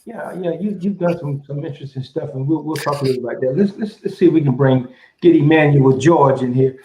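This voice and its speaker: A man's voice: fairly high pitch, brisk, warm tone, a little hiss or hum. A man hosting an online panel, bringing on guests with encouraging, repetitive words. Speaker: host. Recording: roomy, muffled audio.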